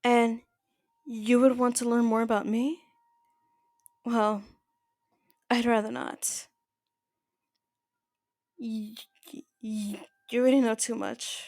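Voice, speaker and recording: A woman playing an older mafia boss in an ASMR roleplay, soft-spoken, possessive and quietly menacing, with a halting, intimate delivery. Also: a little high, flat delivery, no echo, studio quality. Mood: shy, confiding. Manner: halting, stammering, intimate address to the listener.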